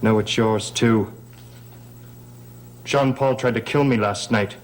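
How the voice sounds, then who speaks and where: A man's voice: lowish voice, takes it slowly. An actor playing a sardonic, suspicious man in a gothic soap opera, sarcastic and insinuating. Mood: sardonic, accusing. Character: sardonic, suspicious man needling a woman for secrets. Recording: old TV soundtrack, faint noise.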